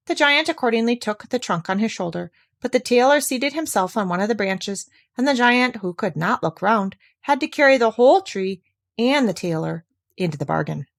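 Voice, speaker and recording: A woman's voice: fairly high pitch, animated, moderate pace, vocal fry. Woman reading a fairy tale aloud. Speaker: storyteller, narrator. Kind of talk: scripted fairy-tale narration, read aloud.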